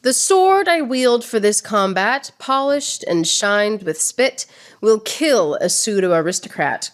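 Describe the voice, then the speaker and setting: A woman's voice: medium pitch, expressive, slow. A woman playing a proud, witty swordswoman poet in radio theatre, declaiming verse with mocking precision. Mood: triumphant, taunting.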